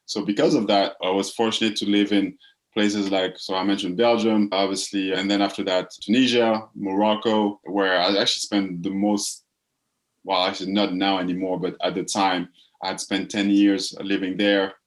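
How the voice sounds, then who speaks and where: A man from the Congo speaks as a guest in a casual interview. His voice sits low. He talks reflectively and often repeats a word while he finds the next one. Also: flat delivery, moderate pace. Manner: reflective, repeats words while searching for phrasing. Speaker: guest, purpose coach.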